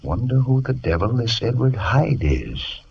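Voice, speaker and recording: An actor playing a proper, concerned London lawyer, formal and blustery, in an old radio drama. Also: male voice, medium pitch, very animated, unhurried, gravelly, lo-fi sound. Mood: doubtful, formal. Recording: noisy old radio recording.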